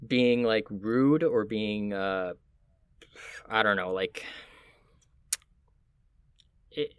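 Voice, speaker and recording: A man from Miami with a Puerto Rican family, talking casually and reflectively, often halting as he searches for words. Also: fairly low pitch, fairly bright tone, clear, resonant tone, no room sound.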